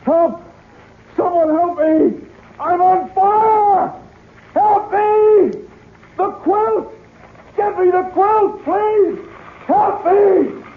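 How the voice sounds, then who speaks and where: A man's voice: very high voice, exaggerated voice. An actor in a radio drama playing a panicking man on fire, screaming desperately for help. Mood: panicked terror. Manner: frantic, drawn-out screaming. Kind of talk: old-time radio drama acting. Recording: tinny, echoing old radio recording.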